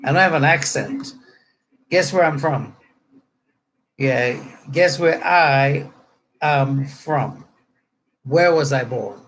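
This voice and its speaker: A middle-aged man with a Trinidadian accent and a voice pitched a little high. He rambles along casually and jokingly, as if chatting to viewers from his room at home. There is faint noise on the recording. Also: takes it slowly, long pauses, a bit bright, rough recording. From Trinidad and Tobago. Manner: rambling, joking, spells out words to clarify. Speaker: pastor. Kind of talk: casual chat to online viewers.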